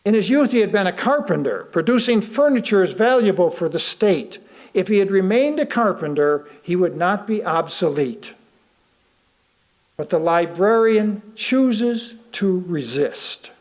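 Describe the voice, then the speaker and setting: High-pitched American man reading a sermon slowly. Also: lively intonation. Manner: deliberate, with pauses for emphasis and rhetorical questions. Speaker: lay reader delivering a sermon. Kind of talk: sermon read aloud to a congregation.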